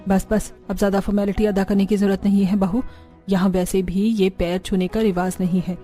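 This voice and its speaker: A woman's voice: medium pitch, level tone, brisk, mellow, soft background music, lightly acted. A woman voicing a haughty, disapproving city in-law in a cartoon. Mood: cold. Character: haughty, disapproving city women of the in-laws' household.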